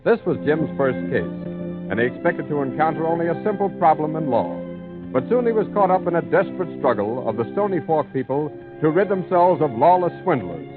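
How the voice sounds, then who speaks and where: A man's voice: on the high side, expressive, brisk. A man announcing a radio drama in formal, dramatic 1940s scripted narration. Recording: muffled 1940s radio recording. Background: music.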